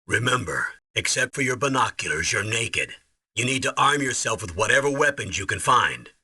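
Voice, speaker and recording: An actor playing an authoritative military commander in a video game, briefing in a firm, measured way with a rough, husky voice. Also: male voice, mid-range voice, expressive, bright, forceful tone, no room sound, clean studio sound, full character voice. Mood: stern reminder.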